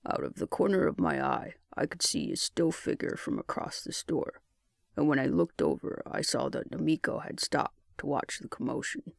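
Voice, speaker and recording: Male audiobook narrator, higher-set voice with heavy fry, first-person story reading. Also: wildly swooping pitch, normal speed, no room sound, clean studio sound.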